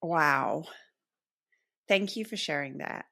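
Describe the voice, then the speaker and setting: A woman's voice: deep voice, animated, takes it slowly, gravelly. A woman hosting a podcast interview, attentive and asking thoughtful follow-up questions. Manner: attentive, with soft listening sounds. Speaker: podcast host.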